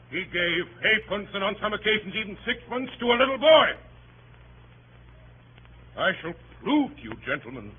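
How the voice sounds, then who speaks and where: Pompous courtroom lawyer, super forceful and ringing, swoops all over, dull noisy 1930s radio. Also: male voice, high voice, exaggerated voice. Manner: theatrical, grandiose, emphatic oratory with dramatic pauses. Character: pompous, melodramatic male barrister addressing a jury.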